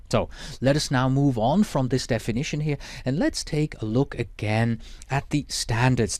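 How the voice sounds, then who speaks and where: A man whose first language is Swiss German, teaching a lecture in a measured, explanatory way with Swiss German accent. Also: medium pitch, darkish tone, dry recording. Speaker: project management instructor.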